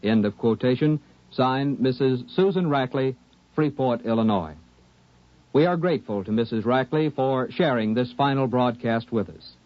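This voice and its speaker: A man's voice: mid-range voice, lively intonation, dry recording. Formal male radio announcer, muffled sound. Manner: measured, formal reading. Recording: muffled 1950s radio recording.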